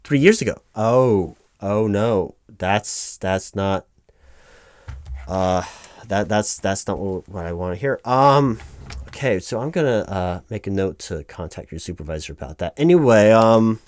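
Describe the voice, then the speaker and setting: A man's voice: on the low side, lively intonation, a little slow, a little mellow, no echo, clean studio sound. A man playing a flustered, apologetic office clerk in a one-sided ASMR roleplay, hesitant and rambling as he corrects himself. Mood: dismayed, apologetic. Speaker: claims paperwork interviewer.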